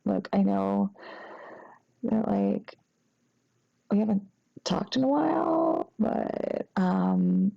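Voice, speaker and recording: Woman acting flustered young ex-girlfriend, very creaky voice. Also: mid-range voice, expressive, dull audio, full character voice. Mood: flustered, apologetic. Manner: halting, rambling, embarrassed, breaking into nervous giggles. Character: sheepish, flustered, apologetic young ex-girlfriend after a drunken night. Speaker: audio roleplay performer. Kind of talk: intimate acted phone-call roleplay.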